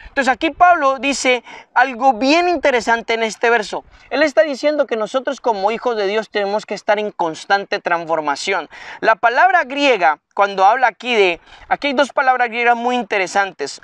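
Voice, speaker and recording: A man preaching a sermon, teaching from the Bible and slowing down to quote verses, with repeated phrases that build up. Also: very high voice, bright, forceful tone, clean tone, no room sound, far from the mic. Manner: explains word by word, slows to quote scripture.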